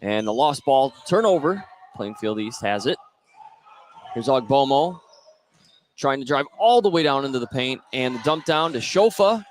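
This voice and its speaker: Male American play-by-play announcer for live high school hoops radio, mid-range voice, faint gym noise behind him. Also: expressive, moderate pace. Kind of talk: live basketball radio commentary. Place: indoor high school gymnasium. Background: faint gym ambience.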